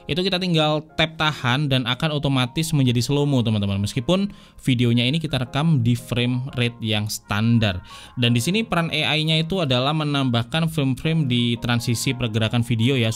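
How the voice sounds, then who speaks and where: A man with a Jakarta Indonesian accent narrating a tech review in a casual, conversational way, explaining things to viewers as friends. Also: mid-range voice. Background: background music.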